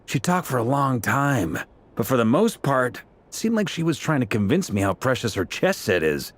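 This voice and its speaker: An actor playing an affable, wry veteran knight commander in a video game, speaking in a down-to-earth, conversational way. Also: male voice, medium pitch, big pitch swings, normal speed. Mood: wry, amused. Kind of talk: naturalistic video game voice acting.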